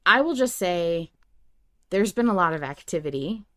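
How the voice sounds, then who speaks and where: A woman hosting a casual fan podcast, talking in an animated, opinionated, conversational way. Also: on the low side, moderate pace. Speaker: podcast host.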